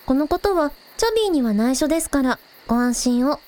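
A woman playing a friendly, excitable young village idol in an audio drama, expressive and shifting between politeness and casual teasing. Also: high voice, fairly quick. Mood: polite, grateful. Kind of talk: Japanese audio drama dialogue.

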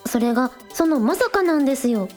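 女性が演じる高く抑揚豊かな元気な巫女アイドル. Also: female voice, sits high, expressive, fairly quick, a little mellow, faint music behind. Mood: frustrated, resolute. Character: spirited, earnest young shrine maiden, village idol. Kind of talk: radio drama dialogue.

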